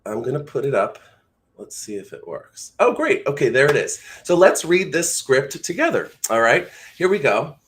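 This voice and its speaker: Male voice-over instructor, American, mid-pitched, casual and encouraging. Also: big pitch swings, normal speed, a bit bright. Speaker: voice-over coach.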